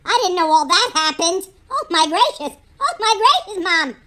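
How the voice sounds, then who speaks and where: A woman's voice: very high-pitched, sing-song, normal speed, bright, forceful tone, voice pushed up, heavily acted. A woman voicing a cheeky little boy who talks to his mom with playful exclamations. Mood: astonished.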